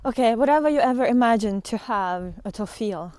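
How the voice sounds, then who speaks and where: A woman giving an earnest, unscripted spiritual talk as a teacher, speaking haltingly with pauses and repetitions. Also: on the high side, lively intonation, a little mellow, no echo. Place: outdoors in the wind. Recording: windy outdoor recording with clear noise.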